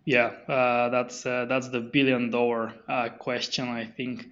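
A man's voice: mid-range voice, level tone, a little slow, a bit bright, highs cut off. A man, a company founder guest on a podcast interview, talking with many fillers and restarts. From Bulgaria.

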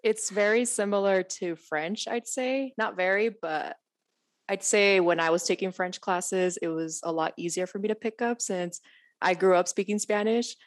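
A woman's voice: mid-range voice, moderate pace. A woman from the San Diego area co-hosting an interview, asking friendly, curious questions in casual chat. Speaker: co-host.

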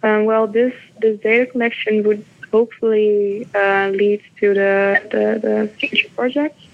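Young Dutch-accented woman, hesitant researcher, gravelly, narrow-band phone sound. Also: mid-range voice, little pitch movement, measured pace, a little hiss or hum. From Netherlands. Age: young adult. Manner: hesitant, many fillers. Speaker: doctoral researcher. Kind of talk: interview answers. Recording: tinny phone-like line.

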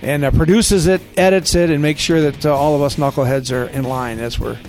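A man's voice: fairly high pitch, fairly even intonation, brisk, a little mellow, faint music behind. A man hosting a casual podcast, talking in an affable, complimentary way. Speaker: guest host, radio play-by-play announcer.

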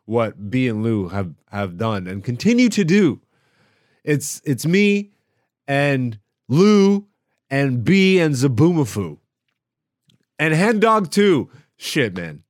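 Man, mid-pitched voice, expressive swings, comedic podcast host, slangy and irreverent, casual monologue, dry home studio sound. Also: no room sound. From born in Greece. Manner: brash, irreverent, slangy, swearing freely.

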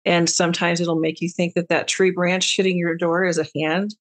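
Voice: medium pitch, level tone, normal speed. A podcast co-host talking candidly and casually, with frequent "um"s and offhand swearing. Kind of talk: casual chat.

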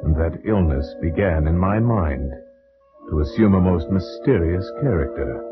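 An actor playing a grave gentleman haunted by dread, in solemn, formal old radio horror style. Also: sits low. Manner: solemn, formal, ominous, measured. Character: grave, dread-haunted gentleman narrator, friend of a doomed family. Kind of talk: 1940s-50s radio gothic horror narration and acting. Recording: dull old radio recording with music.

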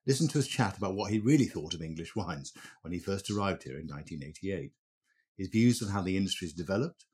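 A man in his sixties hosting a wine podcast, asking brisk, chatty questions. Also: deep voice, darkish tone, husky. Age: 60s. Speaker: podcast host, interviewer. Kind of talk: podcast interview.

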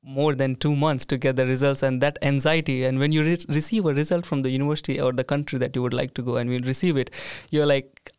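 A man's voice: medium pitch, flat delivery, quick pace, hardly any pauses, a little mellow, clean tone, no room sound, clean studio sound. A man hosting a casual podcast chat, talking in a friendly way with plenty of "uh"s and "like"s. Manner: friendly, hesitant with many fillers. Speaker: podcast host.

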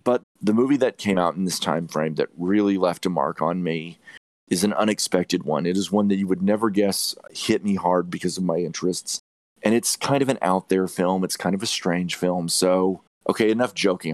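Male podcast film reviewer, low voice, dry sound, emphatic and opinionated, repeats himself for stress. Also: moderate pace, no echo. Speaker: podcast host reviewing films. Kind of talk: opinionated movie review chat.

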